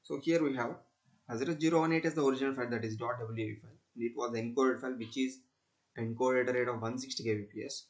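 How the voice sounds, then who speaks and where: A man narrating a step-by-step software demonstration in a halting, repetitive way. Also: mid-range voice, steady tone. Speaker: student presenter demonstrating software. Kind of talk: screen-recorded tutorial demonstration.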